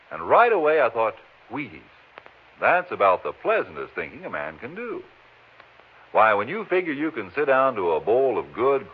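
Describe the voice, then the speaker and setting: A man giving a folksy, conversational 1950s radio advertising pitch in an animated way. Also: husky. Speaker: commercial pitchman. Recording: muffled old radio broadcast, faint music.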